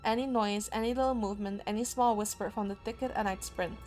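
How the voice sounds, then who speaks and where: A woman's voice: mid-range voice, steady tone, quick pace, a little mellow. A woman game streamer reading a horror visual novel aloud, dramatically, with spontaneous asides. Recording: music behind the voice. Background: game music.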